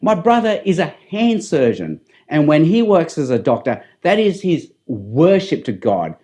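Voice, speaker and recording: Middle-aged Australian man, higher-pitched voice. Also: big pitch swings, unhurried, warm tone. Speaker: public speaker and evangelist. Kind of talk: testimony addressed to camera.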